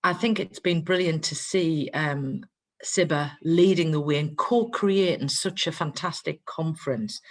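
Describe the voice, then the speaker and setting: A middle-aged woman, a university dean, giving an earnest welcome speech over a video call, with frequent ums. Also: sits low. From not from Greater Manchester. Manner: warm, earnest, with frequent um fillers.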